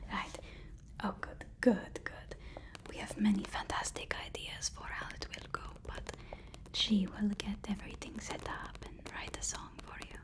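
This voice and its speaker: A woman whispering an ASMR roleplay as a deferential, flattering personal assistant, often repeating her words softly and hesitantly. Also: fairly high pitch. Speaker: ASMR performer.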